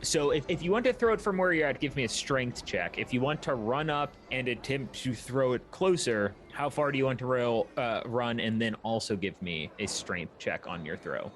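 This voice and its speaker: A man's voice: mid-range voice, animated, moderate pace, few pauses. A man narrating a tabletop roleplaying game as its game master, describing scenes and asking for checks.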